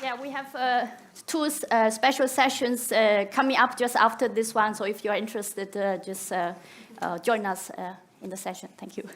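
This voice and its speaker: A woman's voice: fairly high pitch, steady tone, moderate pace, noisy background. A woman who is not a native English speaker, giving a conference talk with hesitant, searching phrasing.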